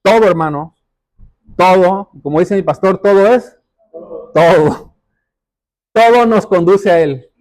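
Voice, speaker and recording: A man's voice: high-pitched, slow pace, long pauses, a little mellow, rough recording. A man preaching a sermon, explaining step by step and addressing the congregation directly, with emphatic repetition and rhetorical questions. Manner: emphatic, repeats phrases for stress.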